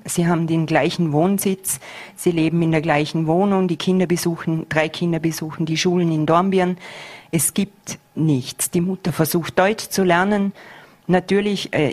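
A woman's voice: fairly low pitch, darkish tone. A middle-aged woman, a school head answering interview questions earnestly, with frequent hesitations. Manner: earnest, measured, with many hesitations.

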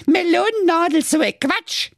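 A man's voice: very high voice, moderate pace, vocal fry, dry recording, clean studio sound, voice pushed up. A man voicing cheeky, whining little goat kids from a storybook, with a childlike questioning manner. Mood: mocking.

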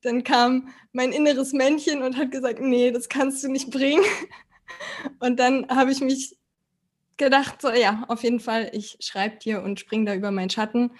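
A woman's voice: high voice, flat delivery, moderate pace. A woman in her thirties from Berlin, a podcast guest who talks hesitantly and searches for words. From Berlin, Germany. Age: 30s. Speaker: podcast guest asking questions. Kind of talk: casual podcast conversation.

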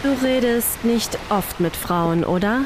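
A woman's voice: mid-range voice, noisy background, close to an everyday voice. A woman playing a self-assured, flirtatious woman in a science-fiction radio play, teasing and wry. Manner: wry, unhurried. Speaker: voice actor in an audio drama.

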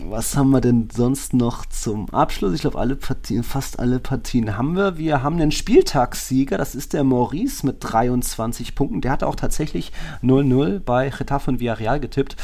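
A man's voice: mid-range voice, moderate pace, hardly any pauses, warm tone. A man co-hosting a football podcast, speaking informally and thinking aloud as he goes. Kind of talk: casual football podcast chat.

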